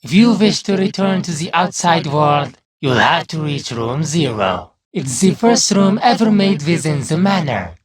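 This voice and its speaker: A man voicing a mock-polite, condescending serpent who owns a haunted manor, with drawn-out theatrical delivery. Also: a little high, sing-song. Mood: smug, condescending.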